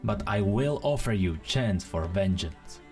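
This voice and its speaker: A man voicing a cold, defiant male rival ninja in a fantasy story, hard and deliberate. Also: on the low side, lively intonation, soft background music, pitched below the performer's own voice.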